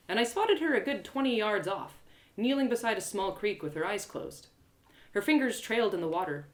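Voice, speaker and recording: A woman reading a first-person fantasy story aloud as an expressive storyteller. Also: lowish voice. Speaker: storyteller, audio drama writer and reader.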